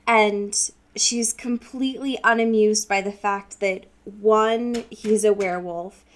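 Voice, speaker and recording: A woman's voice: mid-range voice, fairly even intonation, takes it slowly. A woman co-hosting a podcast in a casual book discussion, talking in a wry, informal, slangy way and acting out lines as she recaps. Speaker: podcast co-host.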